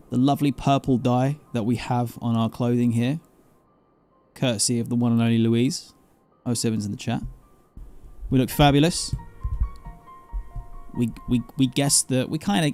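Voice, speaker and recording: Animated male game streamer over music. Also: medium pitch. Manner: animated, self-interrupting, repeats phrases for effect. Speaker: streamer playing an online role-playing game. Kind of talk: casual game stream commentary.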